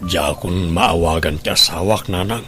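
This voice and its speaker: A man's voice: sits low, moderate pace, a bit bright, faint music behind. A man acting in a radio drama as a hurt, resigned husband whose wife has left. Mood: dejected.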